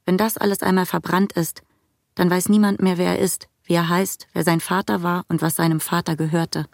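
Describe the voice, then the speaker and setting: A woman's voice: lowish voice, level tone, normal speed, vocal fry, no echo, clean studio sound. A woman acting a rousing rebel leader who gives orders to followers in a radio drama. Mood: commanding, fervent.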